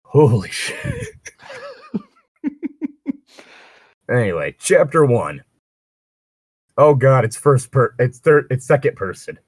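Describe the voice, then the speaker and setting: A man's voice: medium pitch, wildly swooping pitch, takes it slowly, long pauses, slightly rough, dry recording, studio quality. A man chatting casually and reading aloud as co-host, breaking off for sardonic, sweary asides. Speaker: co-host of a fan fiction reading show. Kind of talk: casual banter and read-aloud with commentary.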